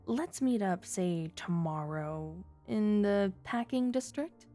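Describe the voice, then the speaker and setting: Scheming female underworld informant for ASMR drama, played by a woman: mid-pitched, coy, teasing, mock-sweet, music underneath. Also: expressive, slow pace, mellow. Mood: smug. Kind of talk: flirty ASMR roleplay audio drama.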